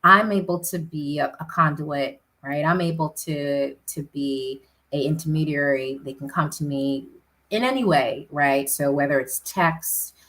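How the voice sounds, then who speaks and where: A woman's voice: low-pitched, unhurried, a bit bright, low-quality recording. A woman city councilor answering interview questions earnestly, often tagging points with "right?".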